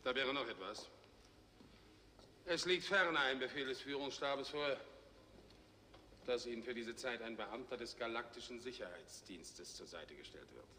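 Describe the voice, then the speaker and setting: An actor playing a stern, commanding middle-aged space fleet general, clipped and formal, in 1960s German TV science fiction. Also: male voice, medium pitch, normal speed, pauses often, pushed and bright, close to an everyday voice. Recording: reverberant, noisy 1960s TV soundtrack.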